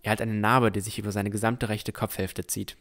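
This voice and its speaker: Young, shy, curious fantasy hero-narrator played by a man, on the low side, measured delivery for an audio drama. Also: flat delivery, a bit bright. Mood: absorbed, watchful. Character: Noel, dreamy young village boy, shy and curious. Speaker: narrator and lead character.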